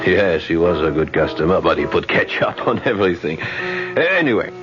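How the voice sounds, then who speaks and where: A man's voice: low voice, very animated, normal speed. An actor playing a chatty restaurant owner who keeps chuckling as he tells his story, in a 1970s radio drama. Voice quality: chuckles often while telling his story. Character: chatty, chuckling small-town restaurant owner, older man. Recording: muffled vintage radio broadcast with music.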